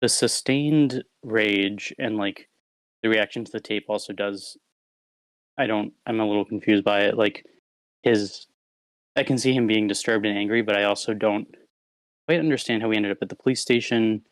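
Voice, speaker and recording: A man's voice: on the low side, normal speed, dry recording. A man giving casual spoken critique as a participant, in a diplomatic, hedging manner, on an online meeting microphone. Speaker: participant giving script feedback.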